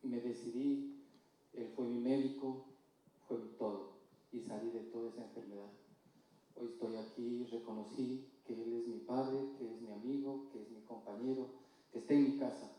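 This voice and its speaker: A man from Ecuador with an Ecuadorian Spanish accent, giving a slow, halting, earnest personal testimony in church. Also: medium pitch, fairly even intonation, mellow. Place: church hall. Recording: distant mic in echoey church hall.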